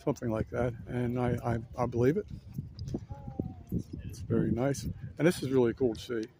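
A man casually narrating a walk-around outdoors as a fishing vlogger, in a laid-back, halting, rambling manner. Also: medium pitch, steady tone, very noisy. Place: outdoors on an ocean fishing pier. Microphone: handheld camera, held by speaker.

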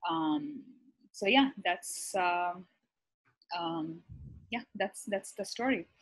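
A young woman from Pakistan, a physician guest, talking casually over a video call from her apartment, pausing often with ums and restarting her sentences. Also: on the low side, expressive, poor audio. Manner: thoughtful, many ums and restarts. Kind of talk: casual conversational interview. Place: bright apartment room, indoors. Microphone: laptop webcam on a video call.

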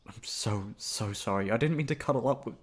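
A man playing a shy, flustered, gentle young classmate in an ASMR roleplay, hesitant and stammering. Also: on the low side, fairly quick, husky. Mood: flustered, apologetic. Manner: hesitant, stammering, soft-spoken.

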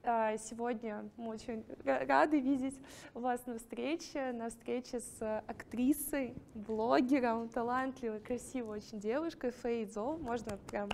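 A woman's voice: on the high side, measured pace, gravelly, a little mellow, a little hiss or hum. Hesitant young woman introducing an event. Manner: hesitant, stumbling. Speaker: event host. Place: library hall.

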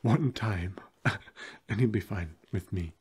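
Genteel gossipy vampire gentleman played by a man, low, very breathy, hesitant, ASMR roleplay. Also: slow pace, full character voice. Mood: amused, gossipy. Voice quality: breathy, husky. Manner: old-fashioned polite phrasing, hesitant and droll.

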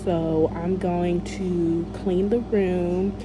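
Low-pitched woman chatting casually. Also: steady tone, drawn-out words, music in the background, poor audio. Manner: informal, thinking aloud. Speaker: vlogger. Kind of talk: casual chat to camera.

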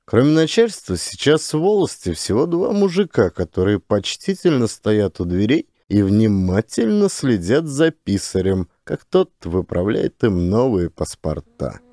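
A man's voice: lowish voice, very animated, a little slow, hardly any pauses, warm tone, no echo, studio quality. A man narrating a literary audiobook as an actor reading from a script.

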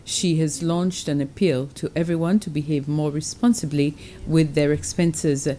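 A woman with a Seychellois accent reading the evening news in a steady, formal way. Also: deep voice, moderate pace, slight background noise, poor audio. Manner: steady formal delivery with a few fillers. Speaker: news anchor. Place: TV studio.

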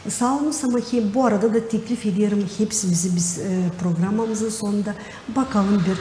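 A middle-aged Gagauz-accented woman hosts a talk show in a mellow, medium-pitched voice. She pauses often to find her words. The studio sounds reverberant. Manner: hesitant, searching for words. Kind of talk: studio interview. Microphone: lapel. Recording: roomy, reverberant studio with faint noise.

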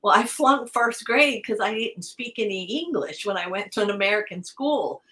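A woman's voice: medium pitch, animated, normal speed, sharp tone. A middle-aged woman whose first language is Italian, chatting animatedly as a guest on a video call. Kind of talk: casual video call chat.

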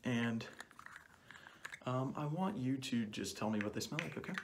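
A young man speaking softly through a cloth mask in an ASMR roleplay as an examiner, often dropping into whispers and repeating short phrases close to the mic. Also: medium pitch, lively intonation, normal speed. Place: small plain indoor room. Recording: clearly noisy home recording with clicks.

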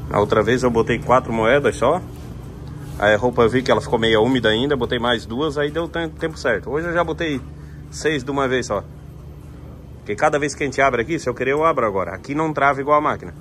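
A man's voice: medium pitch, fast talker, a bit bright. Brazilian man vlogging casually over background noise. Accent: Brazilian Portuguese. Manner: informal, colloquial, fond of diminutives. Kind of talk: casual vlog chat, narrating chores to viewers. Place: inside a self-service laundromat. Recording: noisy laundromat ambience.

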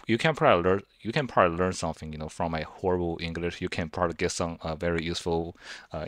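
Chinese-accented man in his twenties, deep voice, dry close sound, loose rambling delivery. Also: no echo. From China. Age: 20s. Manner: rambling, self-deprecating, frequent fillers and restarts. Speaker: vlogger. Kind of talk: casual talk to camera over gameplay.

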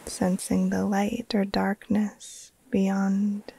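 Female yoga nidra guide: hushed, mellow and unhurried, breathy near-whisper with heavy vocal fry, soothing short phrases. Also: mid-range voice, monotone, dry recording. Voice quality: breathy, soft, often trailing into near-whisper. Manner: slow, soothing, hushed, with long pauses between short phrases. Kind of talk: guided relaxation meditation.

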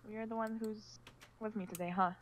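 A woman playing a level-headed, caring apocalypse survivor in a casual, measured amateur roleplay, with faint noise. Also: mid-range voice, steady tone, lightly acted. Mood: calm, concerned.

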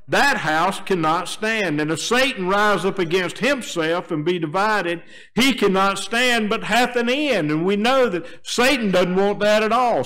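Older male preacher at a pulpit, fairly high voice, drops low and quiet, then rises to emphatic appeals. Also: low-quality recording. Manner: swings between quiet low asides and raised emphatic appeals. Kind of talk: sermon. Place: church sanctuary, standing at a wooden pulpit. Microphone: pulpit microphone, filmed by a fixed camera.

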